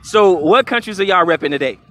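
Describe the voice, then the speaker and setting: A man interviewing people in a casual, energetic street interview, slangy and full of questions. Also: sits high, a little fast. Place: outdoors at a carnival.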